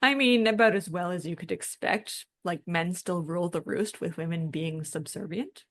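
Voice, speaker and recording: A woman's voice: lowish voice, moderate pace, a bit bright. A woman co-hosting a podcast, explaining things in a casual, informal, discussion style with plenty of colloquial filler words. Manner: informal, peppered with "like" and "you know". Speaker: podcast co-host.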